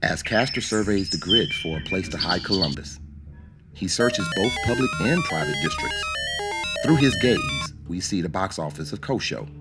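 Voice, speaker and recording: A man's voice: low-pitched, lively intonation, moderate pace. A man reading scripted narration for a radio drama. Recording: narration over music. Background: music.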